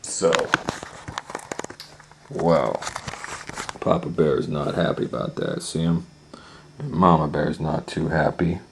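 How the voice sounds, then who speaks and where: A man's voice: rumbling low, steady tone, slow, vocal fry, pauses often, background noise. A man reading a children's story aloud as an educator, asking rhetorical questions and explaining the pictures to young listeners. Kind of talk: animated children's storytime narration.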